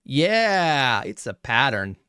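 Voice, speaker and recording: A man giving rambling, profane reaction commentary on tabletop lore, going a bit hoarse as he loses his voice. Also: mid-range voice, slow pace, no echo, clean studio sound. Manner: rambling, profane, animated asides. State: losing his voice. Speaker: reaction streamer.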